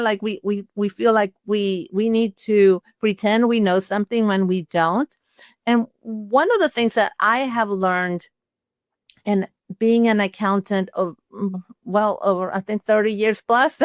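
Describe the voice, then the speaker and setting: A woman's voice: on the high side, no echo, studio quality. An older woman from Chile, a retired accountant, giving a conversational instructional webinar and walking through sample phrases.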